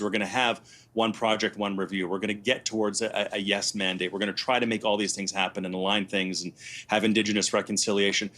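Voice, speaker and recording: A man speaks at a very quick clip in a fairly low voice. He is a panelist delivering conversational political analysis. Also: a bit bright.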